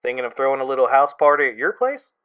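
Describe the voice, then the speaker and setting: A man playing a wry, exasperated but affectionate young friend in an audio drama, talking casually with a gently complaining manner. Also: medium pitch, animated, fast, clear, resonant tone, narrow, tinny audio, lightly acted.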